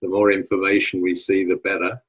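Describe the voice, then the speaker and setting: A man's voice: low-pitched, flat delivery, a little fast, husky, rough recording. A man interviewing politely, hesitating often with filler sounds. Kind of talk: casual interview.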